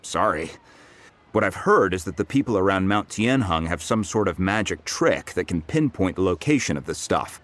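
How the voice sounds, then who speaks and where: An actor voicing a friendly, humble blacksmith in a video game, chatty and self-deprecating. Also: male voice, low-pitched, lively intonation, moderate pace, a bit bright.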